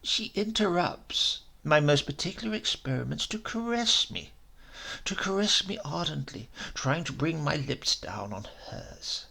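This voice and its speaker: A man's voice: fairly high pitch, very animated, measured pace, creaky, pushed and bright, husky, heavily acted. A man voicing a callous, obsessed Italian professor in a horror story, pompous and theatrical. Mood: dismissive, disdainful.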